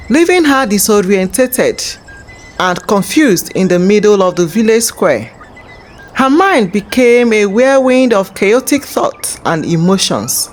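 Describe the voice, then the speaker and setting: A woman narrating a scripted folktale as host, in a measured, dramatic storytelling manner. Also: medium pitch, a little hiss or hum.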